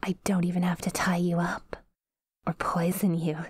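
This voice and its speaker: A woman playing a possessive, menacing, teasing yandere demon in a breathy, whispered ASMR roleplay, slow and intimate. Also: on the low side, level tone, dry recording, studio quality. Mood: possessive, gloating.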